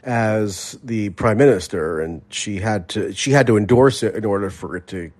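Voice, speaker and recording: A man's voice: fairly low pitch, moderate pace, a little mellow. A man hosting a call-in podcast, careful and qualifying what he says, with frequent restarts. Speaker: podcast host. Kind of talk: casual chat.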